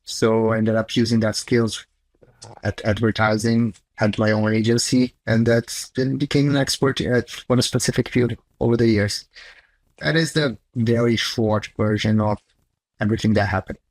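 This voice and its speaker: A man's voice: fairly low pitch, fairly even intonation, normal speed, no room sound, poor audio. Blunt Brazilian-accented man on a video call. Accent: Brazilian Portuguese. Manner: candid, blunt, loose non-native phrasing. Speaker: chief technology officer guest. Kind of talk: casual conversational interview. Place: small home office, indoors.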